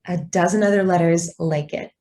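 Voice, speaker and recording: Woman acting earnest young secretary, mellow voice. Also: on the low side, normal speed, natural voice. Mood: dutiful, pressing. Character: devoted, idealistic young female office secretary. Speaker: actor. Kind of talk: radio drama acting.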